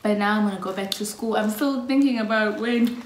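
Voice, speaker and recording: A woman's voice: medium pitch, a little fast, reverberant, background noise. A young South African woman in her twenties, casually chatting and giggling through a get-to-know-us video. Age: early 20s. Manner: giggly, chatty, laughs often. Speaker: YouTube vlogger. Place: small indoor room.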